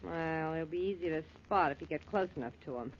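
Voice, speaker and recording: A woman's voice: deep voice, fast talker, a little hiss or hum. An American actress playing a tough, plain-spoken young filling station woman in 1940s radio style. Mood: wry. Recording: dull 1940s radio recording.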